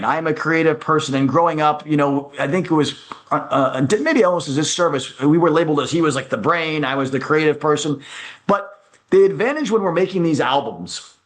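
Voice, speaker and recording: An American man answering as an interview guest, in a conversational way, often halting as he thinks aloud. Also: fairly high pitch. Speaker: guest, music producer.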